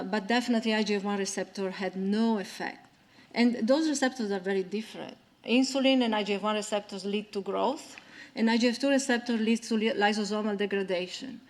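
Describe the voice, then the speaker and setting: Woman lecturer, mid pitch, explains research step by step. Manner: explanatory, with frequent fillers and restarts. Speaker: conference speaker. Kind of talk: scientific lecture and Q&A answers.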